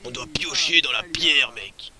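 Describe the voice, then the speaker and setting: A young man voicing a grumbling male soldier who swears about digging trenches in rock, in a low gruff voice. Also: unhurried, pushed and bright, rough recording.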